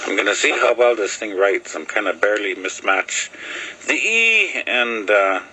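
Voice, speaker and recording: A man whose first language is Lakota, talking casually to a video camera in halting English, often pausing with fillers as he searches for words. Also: lowish voice, big pitch swings, sharp tone, slight background noise, poor audio. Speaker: vlogger. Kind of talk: casual rambling talk to camera. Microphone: video camera mic.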